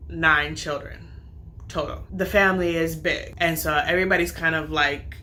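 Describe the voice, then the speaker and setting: Woman vlogger, storytime narration, low pitch, crisp forward tone, chatty and full of reported talk. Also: steady tone, moderate pace, sharp tone, slight background noise. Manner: chatty, retells talks with "I'm like" quotes. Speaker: vlogger and nursing student. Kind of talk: storytime retelling.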